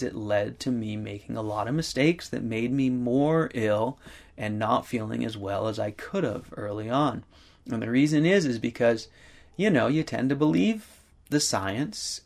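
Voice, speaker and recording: Gravelly man talking in rambling fillers. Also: fairly low pitch. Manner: rambling, halting, many fillers. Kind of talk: casual conversational talk.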